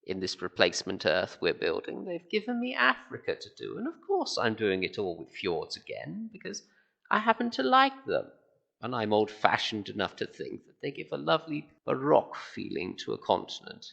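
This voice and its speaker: A man's voice: fairly low pitch, huge pitch swings, normal speed, vocal fry, fairly bright tone, lo-fi sound, heavily acted. A man playing a weary, eccentric old alien planet designer in an amateur audio drama, rambling and world-weary. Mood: wistful, rambling. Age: older.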